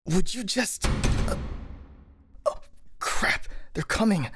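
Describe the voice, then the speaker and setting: A man's voice: high-pitched, expressive, slow pace, over music. A man playing a worried, exasperated master ninja in an audio roleplay, with a wry, scolding, grumbling manner. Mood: exasperated, flustered.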